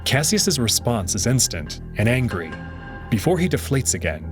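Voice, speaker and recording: A man narrating a visual novel aloud in an expressive way. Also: mid-range voice, moderate pace. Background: background music.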